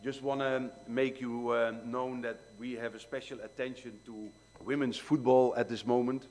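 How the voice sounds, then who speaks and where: A man runs a press conference presentation in a relaxed, easy manner, moving through his slides and passing the word along. His voice sits in an ordinary middle register. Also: mid-range voice, moderate pace.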